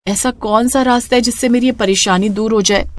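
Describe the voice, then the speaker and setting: A woman's voice: a little high, fairly even intonation, a little fast, close to an everyday voice. A woman voicing a spirited, kind young street vendor in a cartoon story, earnest and chirpy. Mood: downcast.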